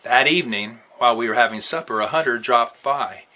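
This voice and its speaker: A man reading a novel aloud as an expressive storyteller. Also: medium pitch, a little fast. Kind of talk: expressive read-aloud narration.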